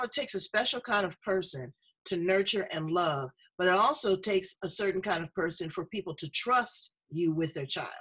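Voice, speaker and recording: A woman hosting a conversational interview, talkative, effusive and playful, with a husky voice. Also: lowish voice, fairly bright tone. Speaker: show host interviewing a guest.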